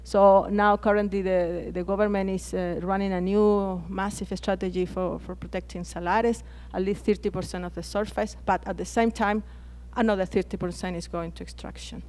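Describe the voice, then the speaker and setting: A woman's voice: mid-range voice, level tone, normal speed, few pauses, darkish tone. A Chilean woman whose first language is Spanish, speaking on a conference panel with frequent hesitations and restarted words. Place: conference plenary hall.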